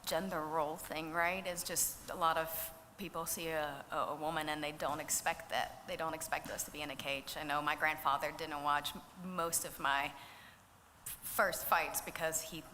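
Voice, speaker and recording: A woman's voice: low voice, fairly even intonation, moderate pace, pushed and bright. A woman from Belgium, a fighter, answering frankly at a press conference. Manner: frank, conversational, self-correcting. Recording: roomy hall, faint noise.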